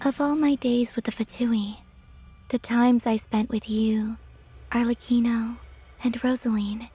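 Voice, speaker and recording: A woman playing a gentle, wistful young woman in a video game, with a soft, breathy voice. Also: a little high, steady tone, a little slow. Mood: wistful, tender. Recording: music under the voice.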